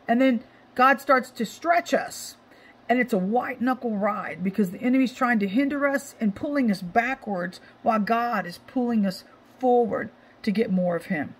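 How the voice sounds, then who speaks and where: High, scratchy-voiced woman preacher. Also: slightly rough. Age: middle-aged. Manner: emphatic, repeats phrases. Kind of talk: informal devotional exhortation.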